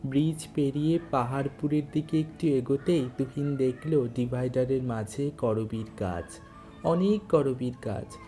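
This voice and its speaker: A storyteller narrating a scripted audio tale in an unhurried, literary way. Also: mid-range voice, warm tone, faint music behind.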